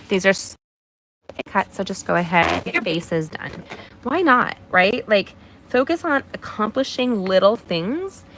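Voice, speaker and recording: A woman's voice: lowish voice, poor audio. Woman doing a live craft stream, bit nasal, chatty and encouraging, faint hiss behind her. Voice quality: a little nasal. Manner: warm encouraging, talks to viewers by name. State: mild allergies. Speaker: craft demonstrator hosting a livestream.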